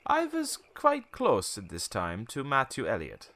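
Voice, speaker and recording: A slow-talking, swooping old storyteller. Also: male voice, mid-range voice, wildly swooping pitch, bright, forceful tone, heavily acted. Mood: reminiscing. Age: older. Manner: cryptic, theatrical, rambling storytelling with knowing chuckles. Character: wary, cynical old male townsman, former shopkeeper, storyteller. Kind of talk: radio play acting.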